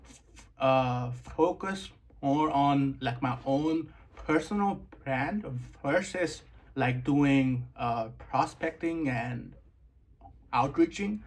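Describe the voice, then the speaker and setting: A young man from Nepal, a guest in a casual interview, relaxed and full of "like" fillers. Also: medium pitch. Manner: relaxed, filler-heavy, says "like" often.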